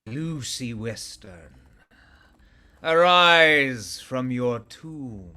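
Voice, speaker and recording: An actor in a radio play voicing an ancient vampire master, imperious and deliberate. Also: male voice, medium pitch, lively intonation, sharp tone, slight background noise, full character voice. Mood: commanding.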